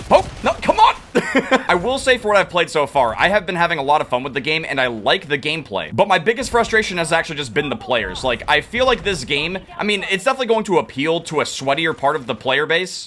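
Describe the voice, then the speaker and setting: Young male gaming creator, lively and rapid, bright edgy tone, worn voice, faint noise behind. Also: on the high side. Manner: excitable, quick to rage and laugh. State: losing his voice. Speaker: gaming youtuber. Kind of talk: live gameplay commentary.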